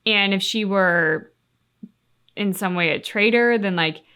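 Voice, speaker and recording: A woman's voice: mid-range voice, unhurried, pushed and bright, smooth. Musing woman with a mid-range voice. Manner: musing, questioning. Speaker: reaction show co-host. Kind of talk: casual chat reacting to game cutscenes.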